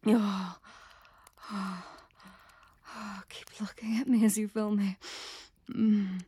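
Slow, mellow-voiced woman whispering commands. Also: medium pitch, flat delivery, slightly rough, no echo. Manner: commanding and teasing, often whispering; soft and gentle near the end. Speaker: audio roleplay performer. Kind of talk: intimate scripted audio roleplay.

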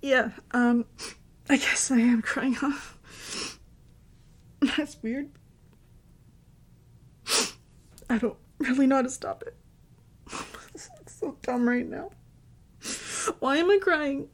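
A woman playing an affectionate, clingy girlfriend in an intimate roleplay audio, speaking tenderly and haltingly and often slipping into a whisper. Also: medium pitch, darkish tone. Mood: tearful, pleading. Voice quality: breathy, often dropping into a whisper. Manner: tender, slow, halting, soothing endearments. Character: affectionate young girlfriend, doting and clingy, tearful before parting. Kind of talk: intimate roleplay audio, soft ASMR-like acting.

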